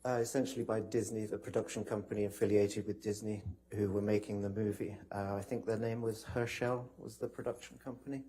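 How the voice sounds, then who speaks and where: A man's voice: fairly low pitch, flat delivery, brisk, hardly any pauses, mellow. A man testifying as a witness in court, recounting from memory in polite, hesitant, broken phrases.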